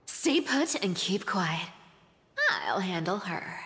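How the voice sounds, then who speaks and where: A sly game trickster woman with a wounded edge. Her delivery is animated and slow, slipping into hushed asides, with a long reverb around it. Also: on the low side, heavily acted. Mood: hushed, commanding. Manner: teasing, hushed, breathy asides. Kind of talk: video game voice acting.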